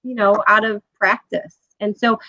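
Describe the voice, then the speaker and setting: A woman's voice: mid-range voice, fairly even intonation, normal speed, pushed and bright, dry recording. A woman who teaches college, explaining her points patiently in a casual podcast discussion. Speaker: guest, college professor. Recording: dry, dull lo-fi audio.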